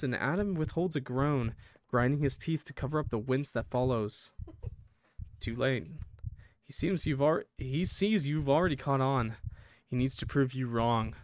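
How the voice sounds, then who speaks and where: Man, game streamer, mid-pitched voice, reading aloud, joking casual asides, stumbles over lines, very dry recording. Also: moderate pace, dry recording. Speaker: streamer playing and reading a visual novel.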